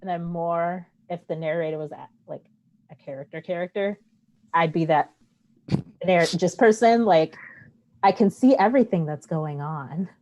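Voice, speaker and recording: A woman with a slightly low voice speaks as a cast member in a casual Q&A. She rambles in a self-reflective way, thinking out loud. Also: moderate pace, low-quality recording.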